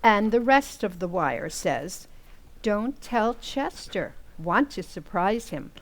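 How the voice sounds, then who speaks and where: A woman playing a middle-aged, affectionate housewife and mother in old-time radio comedy style, speaking gently and patiently. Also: medium pitch, very animated, takes it slowly, rough recording. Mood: fond, eager.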